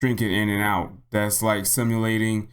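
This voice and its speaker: Low-voiced man, casual tutorial host. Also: level tone, moderate pace, clear, resonant tone. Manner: thinks aloud, repeats himself. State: slightly hoarse. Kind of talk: casual unscripted software tutorial narration.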